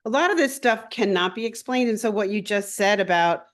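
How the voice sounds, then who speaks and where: A woman's voice: medium pitch, a little fast. Earnest woman hosting an interview. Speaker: astrologer and show host. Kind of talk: interview conversation.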